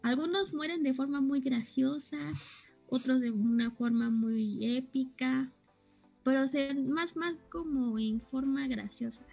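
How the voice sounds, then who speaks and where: A woman's voice: sits high, unhurried, creaky, a little mellow, faint music behind, low-quality recording. A young woman chatting casually, explaining things and often searching for the right words. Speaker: co-host explaining anime terms. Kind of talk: casual chat.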